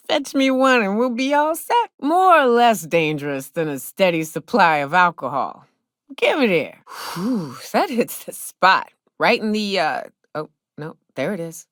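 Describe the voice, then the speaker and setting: A hard-drinking woman who hunts big game in a video game. Her voice sits a little low and swings widely in pitch as she talks in a cocky, folksy, sardonic way. The sound is dry, with no room around it. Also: fairly bright tone, no echo. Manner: folksy, drawling slangy talk, cocky and sardonic. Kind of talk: video game character dialogue.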